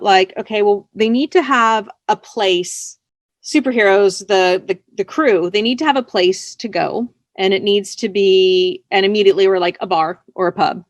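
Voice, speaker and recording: A woman's voice: medium pitch, no echo. A woman being interviewed as a writer, chatting casually in an informal, playful, slightly coy way. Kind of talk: casual chat.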